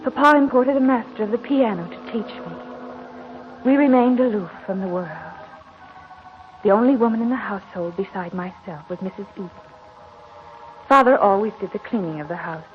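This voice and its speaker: A woman's voice: medium pitch, normal speed, faint music behind, close to an everyday voice. An actress playing a sheltered, wistful young heiress who tells her story formally in a 1940s radio drama style. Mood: wistful recollection. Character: sheltered, wistful 21-year-old heiress, genteel and melancholy. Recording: muffled tinny 1940s radio recording.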